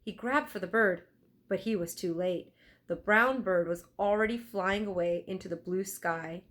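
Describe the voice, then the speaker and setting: A woman narrating a children's storybook aloud in an expressive, deliberate storytelling style. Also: medium pitch. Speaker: teacher reading aloud.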